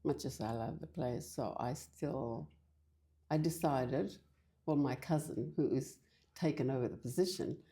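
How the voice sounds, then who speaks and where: Low, mellow-voiced older woman from Fiji. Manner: hesitant, halting with many restarts. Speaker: church interview guest. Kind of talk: casual interview conversation.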